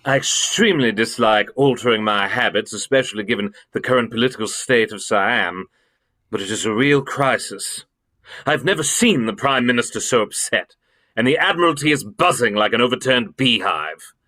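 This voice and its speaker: Casting: male actor as imperious senior government man, pompous and emphatic, mid-pitched, period radio mystery. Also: lively intonation, normal speed, sharp tone. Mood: grave, indignant. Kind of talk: radio drama acting.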